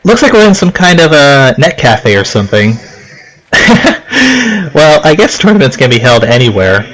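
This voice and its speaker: A man's voice: mid-range voice, sing-song, normal speed, fairly bright tone, rough recording. A man from Okinawa doing casual game commentary and reading on-screen text, in a rambling, self-deprecating, giggly manner. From Okinawa, Japan. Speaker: let's play host. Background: game music.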